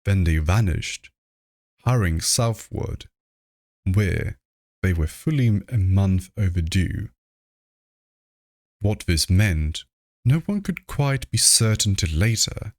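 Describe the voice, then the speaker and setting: A man reading classic horror fiction aloud as scripted narration, in a measured, deliberate manner, close to the microphone. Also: sits low, expressive, a little mellow, no echo. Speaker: audiobook reader.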